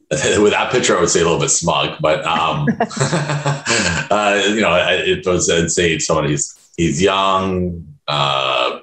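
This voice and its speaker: A man with an extremely deep voice gives his opinions in a casual focus group. He talks in halting bursts, padded with fillers and restarts. His voice comes over a video call from a noticeably echoey room. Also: fairly bright tone.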